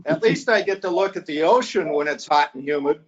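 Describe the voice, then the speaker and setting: Man, group participant, slightly high voice, casual chat, dull lo-fi call recording. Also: fairly quick.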